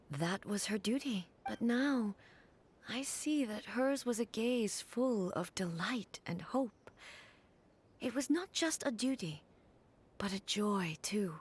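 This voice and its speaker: A woman playing a dignified, earnest ruling deity in a video game, speaking in a formal, measured way. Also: medium pitch, a little mellow, breathy edge. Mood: wistful, earnest. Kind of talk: scripted video game voice acting. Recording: music behind the voice. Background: game music.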